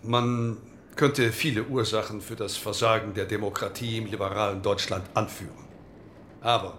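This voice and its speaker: An actor playing an earnest, combative conservative parliamentarian, speaking formally and emphatically in a dramatized debate. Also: male voice, mid-range voice, a bit bright.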